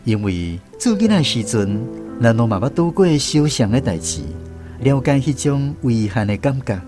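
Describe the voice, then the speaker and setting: A man's voice: mid-range voice, big pitch swings, normal speed, lightly acted. A man with a Taiwanese accent playing a reflective troupe director who narrates his memories in a scripted radio drama. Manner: storytelling, reminiscent. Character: reflective male troupe director recalling touring days. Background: music.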